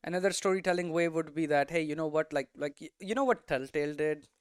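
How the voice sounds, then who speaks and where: Clear, higher-pitched man explaining informally. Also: fast talker, no echo, studio quality. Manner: conversational, thinking aloud, frequent "you know" and "right?" tags. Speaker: game development streamer and teacher.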